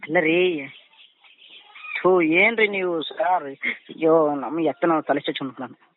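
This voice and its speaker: A man's voice: on the high side, takes it slowly, a little hiss or hum. A man with a rural Karnataka accent arguing in an agitated, repetitive way in a heated phone conversation. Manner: agitated, argumentative, repeats himself. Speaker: prank call victim. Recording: tinny narrowband phone line.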